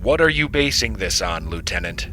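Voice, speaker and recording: A man playing a brisk, questioning starship captain in a fan audio drama, with music behind him. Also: low voice, sing-song, pushed and bright, heavily acted.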